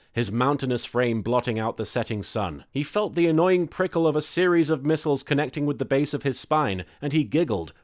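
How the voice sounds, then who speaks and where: A man reading a comic story aloud as presenter, with mock-grandiose dramatic narration. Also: medium pitch. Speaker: presenter and reader of comic fan fiction.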